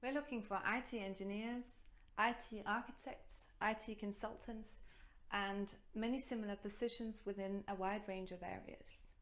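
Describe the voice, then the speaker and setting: Woman reading a promo script, even mid voice, clean delivery. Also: mid-range voice, normal speed. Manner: clear promotional read. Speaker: presenter. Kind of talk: scripted narration.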